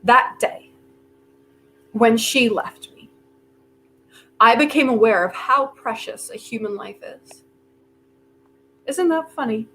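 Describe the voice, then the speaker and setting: Woman acting cocky genius inventor, sardonic n defiant, slow deliberate delivery, highish voice, stage play. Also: animated, sharp tone, a little hiss or hum, exaggerated voice, rough recording. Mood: regretful, bitter. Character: arrogant, brilliant, defiant inventor bargaining with Death. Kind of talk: staged reading of a play, dramatic monologue.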